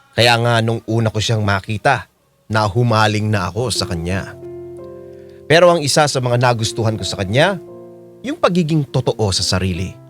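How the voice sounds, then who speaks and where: An actor playing a lovestruck aging joker with a Filipino accent, confiding in a reflective radio drama monologue. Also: male voice, lowish voice, close to an everyday voice. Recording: guitar music under the monologue.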